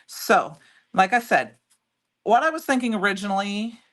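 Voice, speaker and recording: A middle-aged woman with a loud voice, hosting a live crafting demo and chatting loosely with viewers as she works. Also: medium pitch, lively intonation, moderate pace. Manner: chatty, rambling, answers viewer comments. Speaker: livestream craft host.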